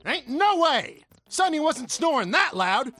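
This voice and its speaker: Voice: high voice, sing-song, measured pace, fairly bright tone, no room sound, heavily acted. A performer playing a brash, stubborn young character in an audio drama, blunt and slangy. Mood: indignant. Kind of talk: anime-style audio drama.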